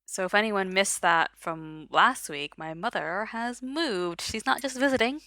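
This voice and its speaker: A young adult woman co-hosting a podcast with her mother, talking in quick, animated, laughing banter. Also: mid-range voice, dry recording. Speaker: podcast co-host. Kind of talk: casual chat.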